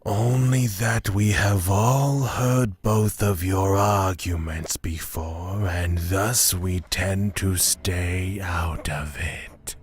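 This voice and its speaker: A man playing a grave, reserved god in a fantasy audio drama, speaking deliberately in a breathy, husky voice. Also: low-pitched, steady tone, exaggerated voice. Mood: calm, reserved. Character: grave, measured male god of fear and courage. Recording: music under the voice.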